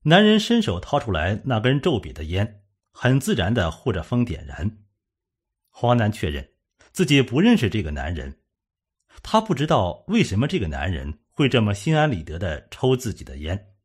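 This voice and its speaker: A man with a husky voice reading a scripted suspense story as an audiobook narrator. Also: fairly low pitch, wildly swooping pitch, moderate pace.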